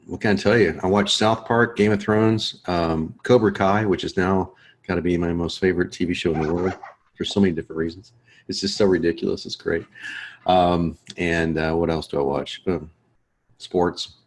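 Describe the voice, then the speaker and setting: Man, very low voice, laid-back and joking, course host, video call. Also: steady tone, normal speed, a little hiss or hum. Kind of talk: casual chat before a lesson.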